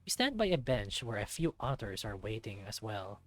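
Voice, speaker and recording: A man reading a visual novel aloud as an expressive narrator. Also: on the low side, moderate pace, faint music behind.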